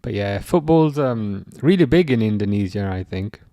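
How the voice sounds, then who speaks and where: A man's voice: lowish voice, animated, moderate pace, dark tone, dry recording, studio quality. A young man from England with an English accent, hosting a casual football livestream and chatting with viewers in a rambling way, full of restarts. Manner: rambling, repeats words and restarts, reads chat aloud. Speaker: livestream host.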